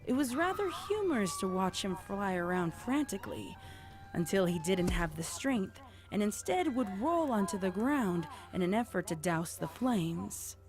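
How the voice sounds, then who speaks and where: A woman's voice: medium pitch, big pitch swings, moderate pace. Woman narrating over prominent music. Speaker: story narrator. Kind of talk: scripted fan fiction narration.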